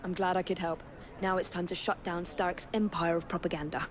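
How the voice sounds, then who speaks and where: A woman playing a brisk, composed young Victorian assassin in a video game, with a British accent. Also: fairly low pitch, level tone, a little hiss or hum, close to an everyday voice. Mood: pleased, resolute. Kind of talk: video game cutscene acting.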